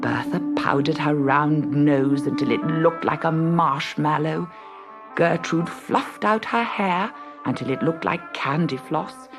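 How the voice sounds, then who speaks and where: A woman storyteller giving an expressive scripted reading of a fairy tale. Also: low-pitched, a little slow, music in the background.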